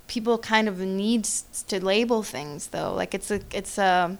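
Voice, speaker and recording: A young woman talks about her band in a relaxed interview. Her tone is smooth with a gravelly fry, and she fills her talk with agreeing asides. Her pitch stays at an ordinary middle level. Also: unhurried. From Sweden. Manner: easygoing, lots of agreeing yeahs and you knows. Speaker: musician guest. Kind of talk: casual interview chat.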